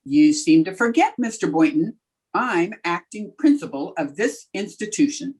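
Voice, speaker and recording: Wry female schoolteacher played by an actress: mock-grand, wisecracking, lively melodic swings, husky edge, radio sitcom. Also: on the low side, moderate pace. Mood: brisk, commanding. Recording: remote video-call recording.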